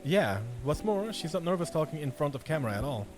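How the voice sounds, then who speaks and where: A man's voice: fairly high pitch, sing-song, quick pace. A man voicing an eager, chaotic, friendly young online show host in a fan-dubbed visual novel, joking and enthusiastic. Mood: earnest, eager. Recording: voice under loud background music.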